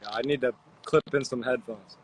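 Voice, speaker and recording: A man joining as a guest on a call, talking casually with a relaxed, swearing manner over his phone. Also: mid-range voice, a little fast, slightly rough, low-quality recording. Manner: laid-back, swears casually. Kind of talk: casual chat.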